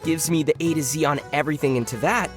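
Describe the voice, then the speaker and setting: A woman's voice: low voice, fast talker, music in the background, natural voice. A woman voicing a young male adventurer in a video game, good-natured and wry, with a playful teasing manner. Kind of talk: video game character voice lines.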